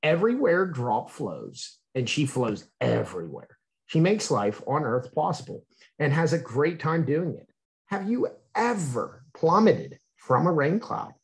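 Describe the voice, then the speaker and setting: A man's voice: a little high, breathy edge. An American man reading a children's book aloud in a teacherly way, explaining and asking his young listeners questions.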